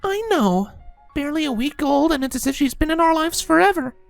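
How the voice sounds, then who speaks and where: A man voicing a friendly pegasus mare, a young mother chatting sociably in falsetto in a storybook reading. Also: lively intonation, fairly quick, gravelly, soft background music, full character voice. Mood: fond, chatty.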